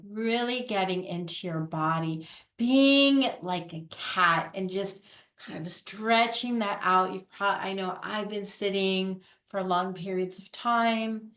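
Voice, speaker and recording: Slow-paced woman guiding meditation, roomy sound. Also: medium pitch. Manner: unhurried, gentle, pausing between phrases. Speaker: guided meditation leader, Reiki practitioner. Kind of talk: guided meditation, soothing instruction.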